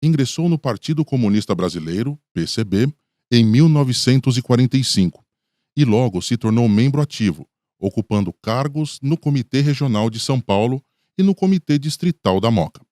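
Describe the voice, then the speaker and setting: A man's voice: medium pitch, animated, fairly quick, mellow, dry recording, clean studio sound. A man with a Brazilian accent giving scripted narration for a radio documentary.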